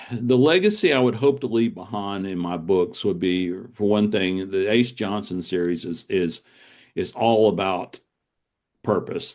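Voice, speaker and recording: A man's voice: deep voice, darkish tone. An older man speaking as an author being interviewed, earnest and reflective, repeating words for emphasis.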